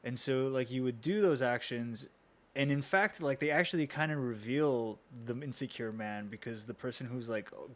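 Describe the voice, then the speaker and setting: Man chatting casually, mid-pitched voice. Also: a little hiss or hum. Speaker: podcast panelist. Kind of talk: casual chat, explaining with acted-out examples.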